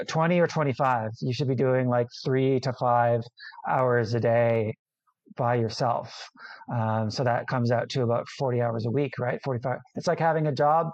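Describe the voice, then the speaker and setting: A man, an international student, answering interview questions casually, often restarting sentences and filling pauses. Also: mid-range voice, a little mellow, no echo, muffled recording. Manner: hesitant, many restarts and fillers.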